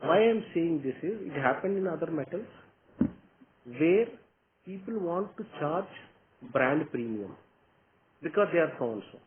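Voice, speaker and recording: A man's voice: on the high side, expressive, measured pace, long pauses. A man with an Indian accent answering questions as a company executive in an investor meeting, explaining point by point and often checking with a short "okay?". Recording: tinny phone-like line with background noise.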